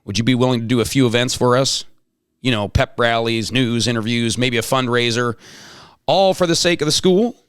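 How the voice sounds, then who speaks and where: A man playing a flustered, long-winded, greedy school principal in an amateur comic table read, halting and exclamatory. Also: medium pitch, a bit bright, clean tone, no room sound, studio quality, pitched below the performer's own voice, lightly acted. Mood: eager, scheming.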